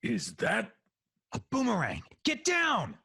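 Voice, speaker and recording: A man playing a brash, excitable action hero in a superhero audio drama, with a raspy voice. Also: extremely high pitch, takes it slowly, dry recording, studio quality, exaggerated voice. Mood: alarmed.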